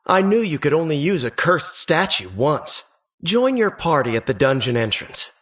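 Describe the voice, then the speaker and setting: Husky, dramatic adventure narrator. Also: male voice, mid-range voice, fairly bright tone. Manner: suspenseful, theatrical, wistful storyteller. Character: adventurer recalling childhood dungeon exploits, earnest and dramatic. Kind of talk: dramatic storybook narration for a video game.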